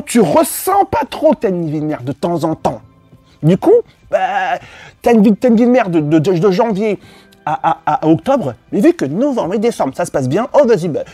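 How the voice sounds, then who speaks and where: A male life coach with a husky, mellow voice lectures his listeners bluntly, peppering his talk with swearing and repeated points. His pitch swings widely as he prods them with questions, and the dry recording has faint music behind it. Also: big pitch swings, a little fast, no echo. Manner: blunt and profane, with emphatic repetition; talks straight to the listener, prodding with questions. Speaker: dating and life coach for women. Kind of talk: motivational monologue addressed to viewers.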